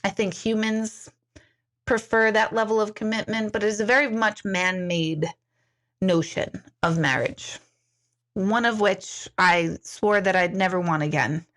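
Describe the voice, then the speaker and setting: A middle-aged woman talks casually to her viewers as an intuitive medium. She rambles from one comment to the next and laughs easily. Her voice sits at an ordinary middle pitch. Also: fairly even intonation, unhurried, a bit bright. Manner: chatty, rambling, reads comments aloud, laughs often. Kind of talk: casual live-stream chat.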